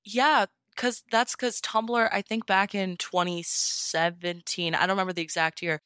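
A woman's voice: mid-range voice, level tone, moderate pace, fairly bright tone, clean tone, no echo, clean studio sound. A woman guest on a podcast, chatting casually and explaining things earnestly, often saying "like". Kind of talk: casual chat.

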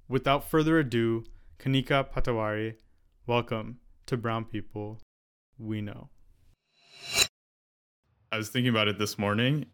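A man's voice: medium pitch, fairly bright tone. A man hosting a podcast interview, asking questions in an easygoing, joking conversational way. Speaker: podcast host. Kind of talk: casual interview chat.

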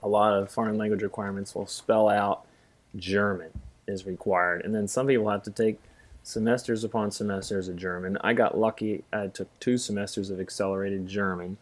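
A man's voice: on the low side, flat delivery, moderate pace. A middle-aged man co-hosting a casual podcast discussion, rambling a bit with self-deprecating humor. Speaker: podcast co-host, music theory teacher.

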